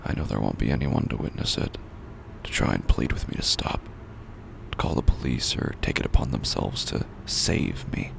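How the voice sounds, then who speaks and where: Man playing a haunted, broken horror-drama narrator, heavy gravelly fry, breathy whispering, slow and grim, loud noise behind the voice. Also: animated, full character voice. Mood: bleak, resigned. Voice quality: very deep gravelly fry, often breaking into whisper. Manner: slow, grim, deliberate delivery.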